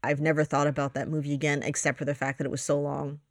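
A woman's voice: deep voice, flat delivery, fast talker, no room sound, clean studio sound. A woman co-hosting a casual film podcast, teasing and blunt, laughing often. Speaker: podcast co-host.

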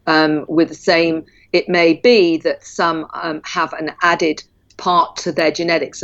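A woman guest in an interview who explains at length in a measured way, with frequent ums. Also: low-pitched, highs cut off.

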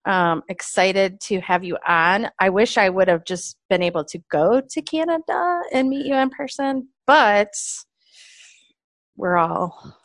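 A woman's voice: medium pitch, measured pace, no room sound, clean studio sound. A woman hosting a podcast, interviewing in a casual, gushing and informal way.

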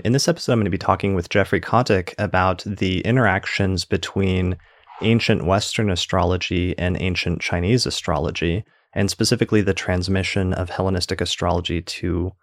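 A man's voice: deep voice, no echo, studio quality. A man hosting a podcast interview, friendly and curious, with frequent fillers as he asks questions. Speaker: podcast host. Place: in person, same room as guest.

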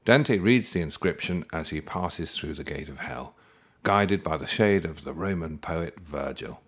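A man's voice: extremely low pitch, measured pace, husky. A man reading his own first-person story aloud in a dry, wry storytelling manner. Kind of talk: scripted first-person narration. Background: guitar music.

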